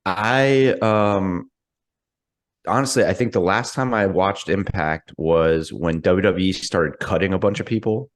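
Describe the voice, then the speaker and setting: A man co-hosts a relaxed wrestling talk show from afar over a video call. His voice is low and raspy, worn rough from a night of drinking, and he talks in loose, hesitant stretches. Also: measured pace. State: hoarse, hungover. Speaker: co-host. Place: in Texas, remote.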